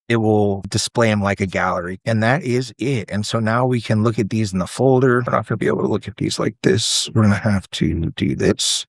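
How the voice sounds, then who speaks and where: A man's voice: on the low side, dry recording, studio quality. A man giving a casual screen-share tutorial, explaining things informally step by step. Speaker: presenter demonstrating self-built apps.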